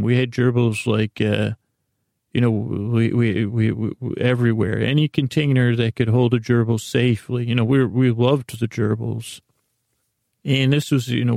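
A middle-aged man hosting a podcast with a silly, halting monologue that meanders and repeats itself as it drifts into tangents. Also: mid-range voice, vocal fry, a little mellow, no echo, studio quality. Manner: silly, whimsical. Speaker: podcast host. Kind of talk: rambling comedic monologue.

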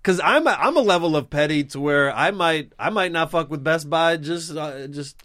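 A man's voice: on the high side, animated, normal speed, a bit bright. A man in casual chat as co-host, agreeable and laughing often.

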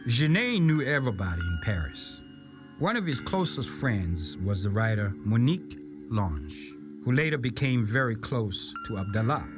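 A man's voice: lowish voice, sing-song, moderate pace. A man reads scripted documentary narration in the role of narrator. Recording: music behind the voice. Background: music.

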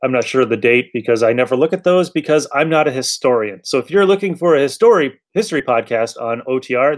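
A man hosting a podcast, chatting casually about an old radio show in a blunt, irreverent way with some swearing. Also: mid-range voice. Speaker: podcast host.

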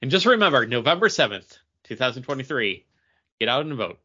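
A man's voice: medium pitch, sing-song, brisk, sharp tone, dull audio. A man co-hosting a podcast with casual, emphatic and opinionated commentary. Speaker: podcast co-host.

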